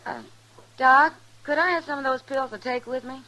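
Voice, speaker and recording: Frank frontier saloon gal. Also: female voice, high-pitched, fairly even intonation, moderate pace, pushed and bright, rough recording. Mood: friendly. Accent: American. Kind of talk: 1950s radio western acting.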